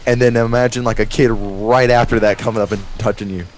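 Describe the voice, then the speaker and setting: A young American man telling a profane, rambling story casually to friends, animated when he acts out quotes. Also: medium pitch, fairly quick. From United States. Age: young adult. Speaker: storyteller among friends playing a game. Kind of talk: casual profane storytelling over game voice chat. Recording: noisy game voice chat recording.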